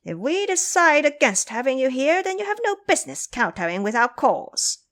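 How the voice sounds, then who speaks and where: Woman playing a haughty, high-pitched monkey king. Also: very animated, moderate pace, fairly bright tone. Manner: commanding, haughty, teasing. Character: proud, sharp, mocking male monkey king. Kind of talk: audio drama acting.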